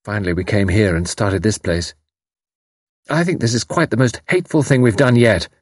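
A man's voice: lowish voice, normal speed, no echo, clean studio sound, close to an everyday voice. A man voicing a fierce, bitter, impetuous young woman in an audiobook, with intense, emphatic delivery. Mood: resentful. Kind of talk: audiobook character dialogue.

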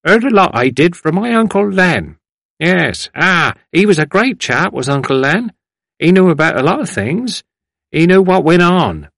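A high-voiced, garrulous old village man. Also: sing-song, a little slow, gravelly, fairly bright tone, dry recording, clean studio sound, heavily acted. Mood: rambling, reminiscing. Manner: rustic, rambling, repetitive, stumbling over words.

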